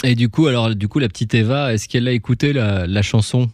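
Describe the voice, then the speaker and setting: A man's voice: mid-range voice, quick pace, background noise. A man hosting a casual radio interview, asking informal, rambling questions. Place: radio studio.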